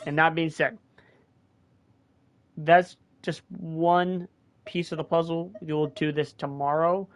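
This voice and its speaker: A man's voice: fairly high pitch, level tone, slow, slight background noise. A man hosting a gaming video, thinking aloud as he recaps the story, his voice starting to wear out. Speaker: gaming channel host. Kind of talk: casual gameplay commentary.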